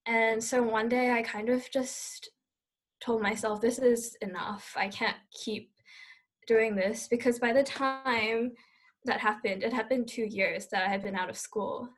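A woman's voice: fairly high pitch, steady tone, unhurried. A young adult woman telling a personal story in a casual, candid conversational way.

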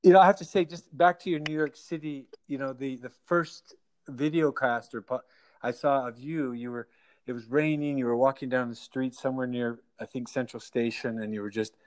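Man, podcast host, casual, rambling, self-deprecating, laughs often, middle pitch. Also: fairly even intonation, a little fast, a little mellow. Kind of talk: casual remote interview.

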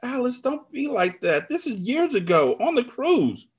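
A man's voice: medium pitch, sing-song, moderate pace, pitched above the performer's own voice. A man voicing a woman in an audiobook drama, pleading and placating as she talks to her friend. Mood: anxious pleading. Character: guilt-ridden woman narrator pleading with her angry friend.

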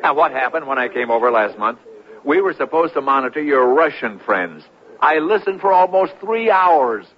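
A man acting in a radio drama as a loud, skeptical club leader who teases with theatrical disbelief. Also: mid-range voice, lively intonation, normal speed, natural voice. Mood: mocking disbelief. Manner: teasing, incredulous, theatrical. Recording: muffled radio recording, crowd behind.

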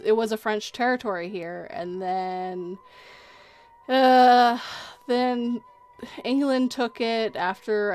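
A woman's voice: medium pitch, a little mellow. A woman with a Canadian accent chatting casually and jokingly on a livestream.

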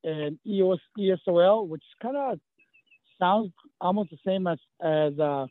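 Brief: fifties South Texas man, Spanish first tongue, somewhat high voice, stop-start chat, thin phone-like sound. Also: no echo. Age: 50s. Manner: halting, many restarts and fillers. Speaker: guest, running coach. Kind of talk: casual interview. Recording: tinny phone-like line.

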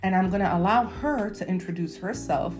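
A woman's voice: medium pitch, fairly quick. Upbeat woman podcast host, echoey room. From Miami, USA. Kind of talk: casual podcast chat. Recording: echoey room with guitar music.

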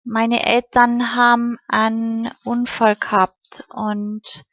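A woman's voice: fairly high pitch, level tone, takes it slowly, fairly bright tone, no room sound. A woman reading the part of a cheerful, grateful young girl with folksy southern German turns of phrase. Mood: sad. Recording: slightly muffled recording.

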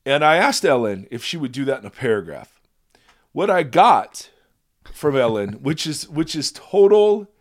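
A man hosting a podcast in casual chat, his voice raspy from a virus, rambling and self-deprecating, often laughing. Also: medium pitch. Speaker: podcast host.

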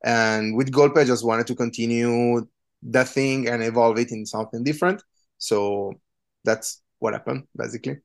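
A man's voice: mid-range voice, fairly even intonation, normal speed, gravelly. A man with an Italian accent, in his thirties, a punk musician answering interview questions in a hesitant, casual way with many fillers. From Italy.

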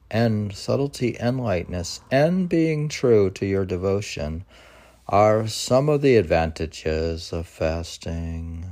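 A man in his sixties, a poet, talking casually and reading poems in a halting, musing, gravelly way. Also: on the low side, darkish tone. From United States. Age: 60s. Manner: halting, musing, talks in slow fragments. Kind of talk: casual rambling talk mixed with poetry reading.

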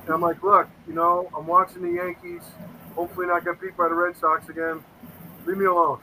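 A man's voice: high-pitched, expressive, a little fast, crowd noise, low-quality recording. A man in his fifties telling stories in a casual interview, earnest and rambling, often quoting himself. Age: 50s. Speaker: paranormal investigator guest.

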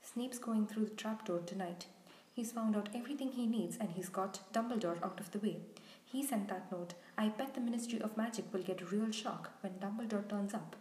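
A woman's voice: mid-range voice, brisk, darkish tone, natural voice. A woman voicing an impassioned, defiant schoolboy hero with urgent, insistent delivery in a storybook reading. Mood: urgent, secretive. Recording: roomy, echoing sound.

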